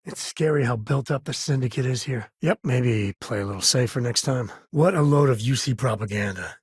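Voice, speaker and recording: An actor playing an easygoing middle-aged frontier ranger and dad in a space video game, husky and raspy, with a wry, plain-spoken manner. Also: male voice, medium pitch, brisk, dry recording, clean studio sound. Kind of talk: video game character dialogue. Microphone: close, dry studio mic.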